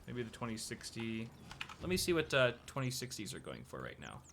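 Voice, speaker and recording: A man's voice: medium pitch, wildly swooping pitch, a little fast, gravelly, noisy background. A young American man hosting a casual live stream, chatting loosely with many filler words while giving advice. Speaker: streamer host.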